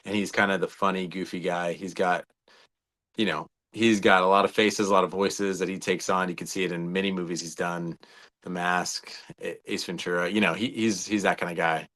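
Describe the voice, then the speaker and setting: Low-voiced man in casual discussion. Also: steady tone, brisk, fairly bright tone. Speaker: co-host of a video game fan show. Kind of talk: casual conversational film discussion.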